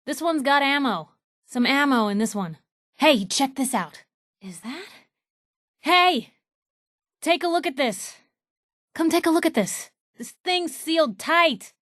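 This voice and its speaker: A woman's voice: a little high, dry recording, clean studio sound. An actress playing a plucky, outspoken young woman reporter, a video game companion with a wry, folksy, punchy delivery. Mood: casual, alert. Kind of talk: video game voice acting, short reactive lines.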